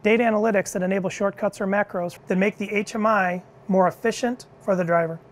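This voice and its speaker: A middle-aged man leading product innovation, presenting a car cockpit demo in a measured, clear, scripted way in an indoor demo room. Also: sits high, fairly even intonation, slight background noise. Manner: measured, clear explanatory delivery.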